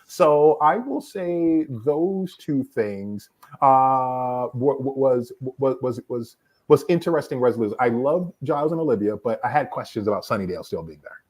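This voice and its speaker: A man's voice: medium pitch, animated, normal speed, a little mellow. A man speaking as a panelist in a casual discussion, repeating words as he searches for phrasing.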